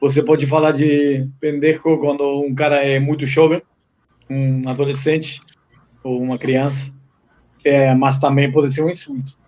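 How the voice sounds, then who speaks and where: A man's voice: mid-range voice, flat delivery, normal speed, a little mellow, highs cut off. A man from Uruguay whose first language is Spanish, talking in Portuguese mixed with Spanish as a guest on a live chat.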